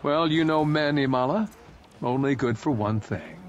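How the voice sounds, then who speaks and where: A man's voice: mid-range voice, moderate pace, highs cut off, voice pushed up, natural voice. A man reading aloud voicing a young male soldier who is blunt and dismissive. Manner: dismissive, matter-of-fact.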